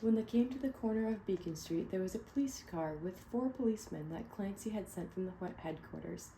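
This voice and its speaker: A woman reading a picture book aloud to children, pausing to ask them questions. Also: medium pitch, slight background noise, low-quality recording. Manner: gentle, asks children questions. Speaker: read-aloud storyteller.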